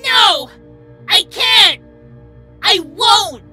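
A woman playing a frightened, defiant stallion trapped inside a monster, yelling in a strained, desperate way in an audio story. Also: extremely high pitch, sing-song, very slow, soft background music, exaggerated voice. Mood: frantic. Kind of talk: dramatic audio story acting.

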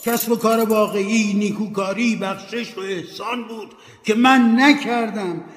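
A man's voice: on the high side, lively intonation, creaky, soft background music, voice pushed up. A man voicing the anguished ghost of a dead businessman, lamenting and warning in a declamatory way. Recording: echoey, reverberant room.

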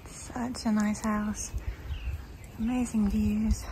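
A woman's voice: mid-range voice, flat delivery, takes it slowly, mellow, slight background noise, low-quality recording. A woman vlogging on a trip with her huskies, chatting in a sing-song way to her dogs and the camera, filmed on a handheld phone. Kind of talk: casual vlog narration. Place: holiday cottage and countryside, indoors and out.

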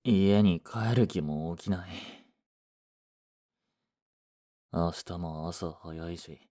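A man's voice: low-pitched, slow pace, warm tone. A man playing a frustrated young working boyfriend in a romantic audio drama, speaking in blunt, casual masculine language. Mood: dejected. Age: young adult.

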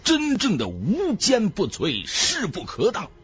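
A man narrating an audiobook in a dramatic, theatrical, expressive storyteller's manner. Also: high-pitched, slow pace, slightly rough, soft background music.

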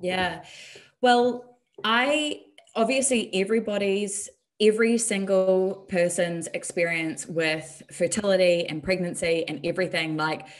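A woman's voice: on the low side, unhurried. A woman in her thirties from New Zealand with a New Zealand accent, talking openly and reflectively as a guest on a video call, with frequent ums. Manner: open, reflective, many ums. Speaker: guest, health and performance coach. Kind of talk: candid interview over video call. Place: bright room at home. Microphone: desk mic on video call.

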